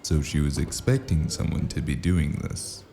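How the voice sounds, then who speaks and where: A man playing a weary, wounded noir detective in an audio drama, gruff and deadpan, with a gravelly voice and dry wit. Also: extremely low pitch, normal speed, exaggerated voice. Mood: puzzled. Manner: laconic, deadpan.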